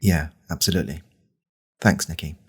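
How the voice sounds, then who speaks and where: A man playing an angry, pompous right-wing podcast host in a deadpan parody monologue, with a deliberate, conspiratorial manner. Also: exaggerated voice. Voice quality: very deep, gravelly, husky.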